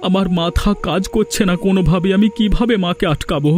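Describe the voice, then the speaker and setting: A protective, kindly husband in a cartoon played by a man. His voice is fairly high for a man, earnest and troubled. Faint music plays underneath. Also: a little fast, darkish tone, natural voice. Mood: worried, helpless. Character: kind, worried husband and protective elder brother. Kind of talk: cartoon voice acting.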